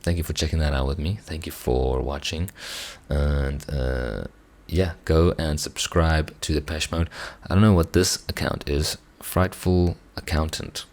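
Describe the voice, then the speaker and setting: A man, an Afrikaans speaker, hoarse from singing, talking through a song reaction in a reflective, hesitant way with many restarts. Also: a bass voice, steady tone, unhurried, darkish tone. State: hoarse, strained voice. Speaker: musician reacting to songs. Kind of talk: casual reaction commentary.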